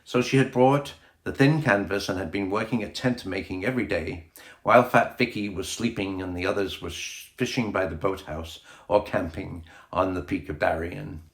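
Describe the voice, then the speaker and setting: A man's voice: low-pitched, moderate pace. A man reading a children's storybook aloud as a scripted audiobook narration. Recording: echoey room sound.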